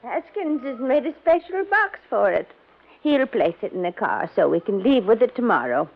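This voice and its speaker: A genteel, imperious widow of seventy. Her voice is fairly high and creaky, with dramatic swoops. She is gracious, yet used to giving orders. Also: big pitch swings, moderate pace. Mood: proud. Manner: gracious but commanding. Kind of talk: 1950s radio drama acting. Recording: dull vintage radio recording.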